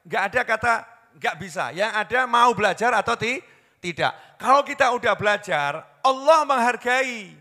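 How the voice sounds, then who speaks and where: A man delivers a sermon in a high, bright, forceful voice. He drops to a hushed storytelling tone, then rises into loud, impassioned pleading. A long reverb from a large hall trails each phrase. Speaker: preacher.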